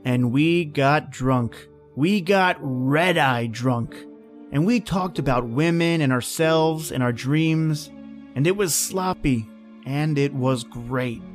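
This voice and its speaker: A man's voice: on the high side, takes it slowly, soft background music. An actor playing an edgy, short-tempered man at a remote outpost in an old-time radio drama, with terse, reflective delivery. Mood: somber, reflective. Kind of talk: old-time radio drama acting and first-person narration.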